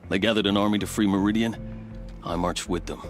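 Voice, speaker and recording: An actor playing a loyal, wry veteran fort captain in a video game, speaking in measured, formal phrases with dry asides. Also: male voice, on the low side, fairly even intonation, lightly acted. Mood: wry, proud. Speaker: fort captain quest giver. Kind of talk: video game dialogue acting. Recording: game soundtrack music behind. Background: music.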